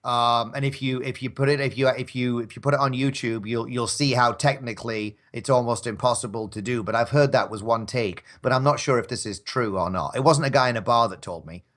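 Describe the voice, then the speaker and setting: A man's voice: medium pitch, fairly quick, a bit bright. A man as a podcast guest in casual chat, rambling conversationally. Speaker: podcast guest, radio drama actor.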